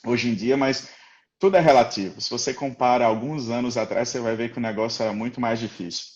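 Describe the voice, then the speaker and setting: A man's voice: medium pitch, fairly even intonation, fast talker, darkish tone, dull audio. A man with a Rio Grande do Norte accent, a guest professor talking casually on a live stream, rambling with many fillers and tag questions. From Rio Grande do Norte, Brazil.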